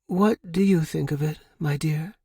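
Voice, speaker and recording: A man's voice: fairly high pitch, unhurried, vocal fry, dark tone, slightly rough, dry recording, clean studio sound, voice pushed up, full character voice. A man voicing an elderly, wealthy woman in an audiobook story, formal, gracious and affectionate. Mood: gracious, fond.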